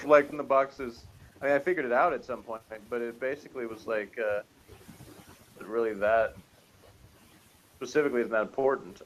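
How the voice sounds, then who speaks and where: A man's voice: mid-range voice, a little hiss or hum, poor audio. A man co-reviewing a TV series in casual, unscripted conversation.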